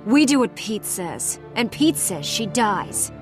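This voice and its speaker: A woman's voice: mid-range voice, big pitch swings, takes it slowly. An actress playing a cold, menacing gang henchwoman in a video game. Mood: cold menace. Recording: clear music behind the voice.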